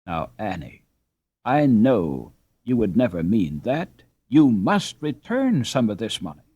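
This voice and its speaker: A man's voice: on the low side, big pitch swings, takes it slowly, dark tone, husky, dry recording, heavily acted. A man as an earnest, honest peasant boy. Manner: earnest, solemn.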